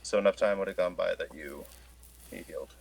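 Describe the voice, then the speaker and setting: A man casually refereeing a tabletop game as game master, halting and thinking aloud. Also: low voice, vocal fry, rough recording.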